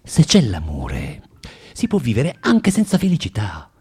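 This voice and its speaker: Husky, bitter, preachy drinker. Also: male voice, medium pitch, wildly swooping pitch, darkish tone, exaggerated voice. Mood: pleading, moralizing. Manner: halting, insistent, moralizing, with mocking questions. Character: bitter, preachy, self-loathing male drinker lecturing a young prostitute. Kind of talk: radio drama acting.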